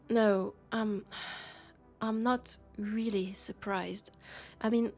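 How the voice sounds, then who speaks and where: Woman playing a French-accented sci-fi policewoman, worried and exasperated roommate, soft hesitant delivery, slow pace, faint music behind. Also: mid-range voice. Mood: uneasy, hesitant. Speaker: roleplay audio performer. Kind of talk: intimate sci-fi audio roleplay acting.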